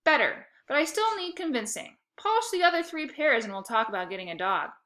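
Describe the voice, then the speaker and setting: A woman voicing a deliberate, noncommittal father who is hard to convince, in a children's storybook. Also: high-pitched, sing-song, bright, forceful tone, pitched above the performer's own voice, heavily acted. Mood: stalling.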